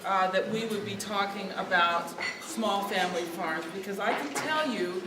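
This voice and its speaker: A woman with a quiet voice giving a speech without a microphone, speaking earnestly to lift her voice over the room. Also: mid-range voice, moderate pace, background noise. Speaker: speaker at a lobby day. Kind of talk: outdoor speech without a mic. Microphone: no mic, picked up from a distance. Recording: distant echoey recording with loud ambience.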